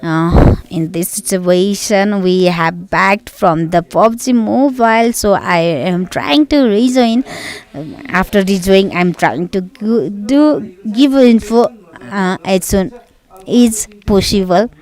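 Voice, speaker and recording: A woman with a Nepali accent, a native Nepali speaker, giving live esports commentary in halting English with frequent fillers. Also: mid-range voice, a little mellow. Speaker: esports caster.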